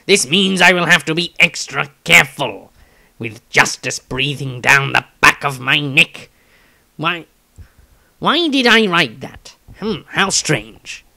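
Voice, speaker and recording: A man's voice: on the high side, lively intonation, slow pace, creaky, bright, forceful tone, full character voice. A man voicing a shifty, cagey rogue who muses warily as he reads his diary. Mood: wary, musing. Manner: musing, wary. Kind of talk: storybook diary reading.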